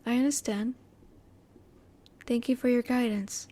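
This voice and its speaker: Soft, mellow alien woman, acted by a woman, formally curious. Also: a little high, steady tone. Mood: curious, polite. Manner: formal, measured, inquisitive. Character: curious, emotionally reserved female alien, politely clinical. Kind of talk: intimate roleplay audio acting.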